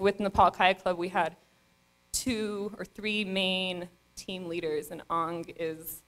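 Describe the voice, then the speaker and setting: A woman's voice: mid-range voice, a bit bright. A young woman on a stage mic, giving an earnest acceptance speech with many ums and restarts. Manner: earnest, with frequent fillers and restarts. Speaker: award recipient giving a speech. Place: stage in a dark event venue. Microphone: stand mic.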